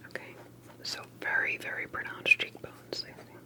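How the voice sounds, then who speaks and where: A woman speaking in a soft, breathy whisper, gently and carefully asking questions in an ASMR interview roleplay. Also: moderate pace.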